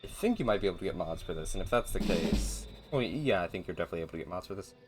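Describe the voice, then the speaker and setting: A man giving casual, rambling gameplay commentary in a flat, monotone voice, with a self-deprecating manner. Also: deep voice, rapid-fire, over loud music. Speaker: gaming video host.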